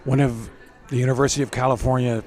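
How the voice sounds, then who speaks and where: A man's voice: mid-range voice, flat delivery, fast talker, a little mellow. A man conducting a radio news interview outdoors on a campus, asking polite, admiring questions.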